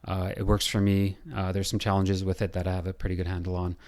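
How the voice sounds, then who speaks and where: A man with a hoarse, scratchy voice, losing his voice, talking through a software design tutorial and thinking aloud with lots of pauses and fillers. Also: sits low, level tone. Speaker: building designer.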